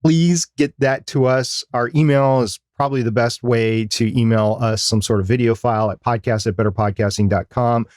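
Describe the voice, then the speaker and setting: A man's voice: mid-range voice, steady tone, normal speed, few pauses, a little mellow, no echo. Male podcast host with close, dry sound. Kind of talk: semi-scripted advice podcast narration.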